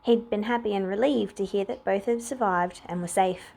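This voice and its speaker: Female narrator, medium-pitched, scripted fiction reading, audiobook style. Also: moderate pace, gravelly, dark tone. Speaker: podfic reader.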